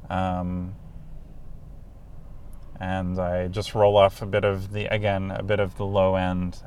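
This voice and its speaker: A man with a bassy voice talking casually about his podcast on a lapel mic, halting with many ums. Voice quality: bassy deep voice. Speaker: podcast host. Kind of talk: casual solo talk. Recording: loud background noise.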